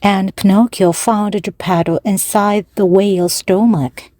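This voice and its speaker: A woman narrating a children's story slowly and clearly for language learners, with pauses between phrases. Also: mid-range voice, fairly even intonation, warm tone, dry recording. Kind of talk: slow, clear read-aloud for language learners.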